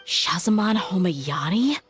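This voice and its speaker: An actress playing a tough, loyal young woman mercenary in a video game, speaking in a measured, frank and assured way. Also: fairly low pitch, expressive, music in the background. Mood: suspicious, troubled. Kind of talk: video game voice acting, dramatic dialogue.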